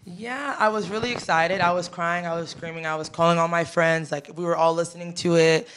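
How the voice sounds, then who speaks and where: A woman's voice: fairly low pitch, steady tone, fairly quick, a bit bright, a little hiss or hum. A young woman singer from Ghana, raised in America, casually answering interview questions in a studio with a handheld mic. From Ghana, raised in the United States. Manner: easygoing, many fillers like "you know" and "um". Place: studio with a teal backdrop. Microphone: handheld mic close to the mouth.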